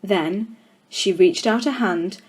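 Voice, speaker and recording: Female storyteller, medium pitch, deliberate phrasing, expressive children's book narration. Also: breathy edge, low-quality recording.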